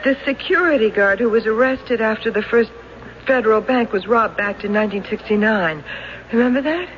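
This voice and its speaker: An actress playing a worried, soothing wife in a 1970s radio drama. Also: female voice, a little high, fairly quick, lightly acted. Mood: anxious. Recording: muffled, hissy 1970s radio recording.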